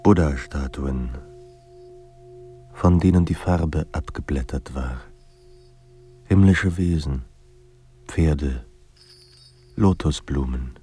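A man performing as a somber narrator of a troubled writer's life in a radio drama, with measured, grave delivery. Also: rumbling low, dark tone, husky, exaggerated voice. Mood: grave, awed. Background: music.

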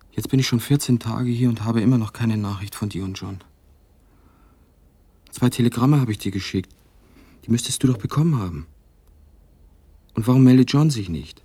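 An actor playing a lonely, brooding writer voicing a letter in a radio play, intimate and reflective, with a husky voice. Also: male voice, lowish voice, no echo. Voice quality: husky, gravelly, mellow.

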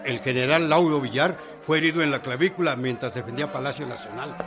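An actor playing a dutiful general in a radio drama, giving a formal military report. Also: male voice, mid-range voice, fast talker, over music, natural voice. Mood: dutiful concern. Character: male general reporting dutifully to his president. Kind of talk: historical radio drama acting.

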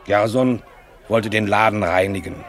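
A sneering cynical cleric. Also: male voice, fairly low pitch, measured pace, fairly bright tone, some chatter behind. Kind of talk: radio play acting.

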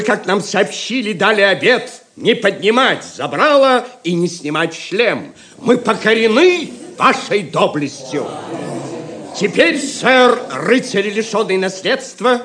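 An actor playing a regal, condescending prince in an audio drama, with a pompous, ceremonious, courtly manner. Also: male voice, sits high, a bit bright, heavily acted. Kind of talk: theatrical audio drama acting.

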